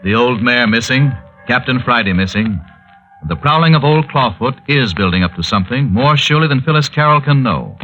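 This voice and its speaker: A man's voice: mid-range voice, natural voice. A man acting as the ominous narrator of a 1940s radio mystery serial, dramatic and portentous. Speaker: announcer, narrator. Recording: muffled vintage 1940s radio recording. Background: music.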